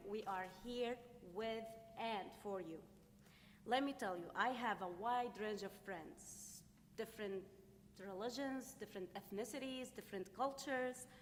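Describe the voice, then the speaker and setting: Syrian-accented woman reading a statement. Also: medium pitch. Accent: Syrian Arabic. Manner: careful, deliberate, slightly halting. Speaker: panelist, refugee volunteer. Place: conference hall. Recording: long reverb with faint noise in a hall.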